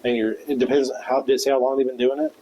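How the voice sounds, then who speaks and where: A man with a monotone voice, a stand-up comedian guest talking loosely on a podcast, full of fillers and restarts. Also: medium pitch, fast talker, rough recording. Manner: rambling, loose, with many fillers. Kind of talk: casual podcast interview.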